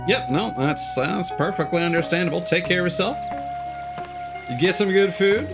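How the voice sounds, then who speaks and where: A man's voice: sits high, normal speed. A man giving casual video game commentary as a live streamer, full of joking, playful asides. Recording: game music behind the voice. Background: video game music.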